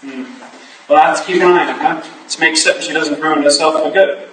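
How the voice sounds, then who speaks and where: A man in a staged play reading, playing an affable, slightly flustered Victorian gentleman with a genteel, exclamatory manner. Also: a little high, steady tone, fairly quick, close to an everyday voice. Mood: mildly exasperated. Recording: distant echoey room with clear background noise.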